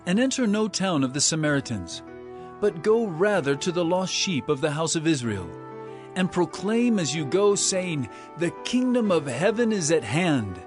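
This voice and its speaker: Male actor as commanding holy teacher, fairly high male voice, earnest scripture drama, swooping emphasis, music beneath. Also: sing-song, normal speed. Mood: earnest instruction.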